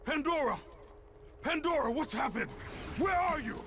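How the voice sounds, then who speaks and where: An actor playing a grim, vengeful Spartan warrior in a video game, stern, menacing and terse. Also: male voice, extremely high pitch, animated, normal speed. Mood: urgent, shouting. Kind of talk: dramatic video game voice acting. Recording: loud noise behind the voice.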